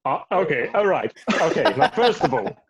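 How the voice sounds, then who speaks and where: A cast member chatting casually on a group video call, playful and halting while answering questions. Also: mid-range voice, very animated, heavy background noise. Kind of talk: casual chat, anecdotes.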